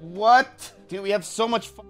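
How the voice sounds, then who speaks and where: A man's voice: high-pitched, measured pace, a bit bright, soft background music. Sarcastic ranting young man. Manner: ranting, sarcastic, swears a lot. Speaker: streamer. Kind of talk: casual chat. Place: car and indoor room.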